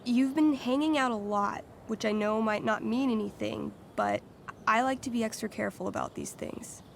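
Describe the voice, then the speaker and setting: A woman's voice: a little high, moderate pace, vocal fry, noisy background. A woman playing a friendly, candid, considerate teenage girl in a video game, chatty and earnest. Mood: careful, earnest. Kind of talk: naturalistic video game acting.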